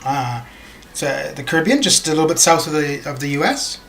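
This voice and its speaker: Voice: mid-range voice, animated, a little fast, vocal fry, a bit bright. A streamer in their thirties from northern Canada, chatting casually with viewers in a rambling, hesitant way with many fillers. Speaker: game streamer. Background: faint background music.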